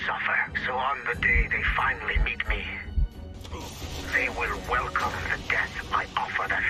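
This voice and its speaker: Guy playing cold solemn templar villain for a game, measured speech, medium pitch, music really loud. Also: steady tone, natural voice, poor audio. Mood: cold menace. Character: cold, menacing Templar knight, vengeful, contemptuous of his enemies. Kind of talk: dramatic scripted monologue.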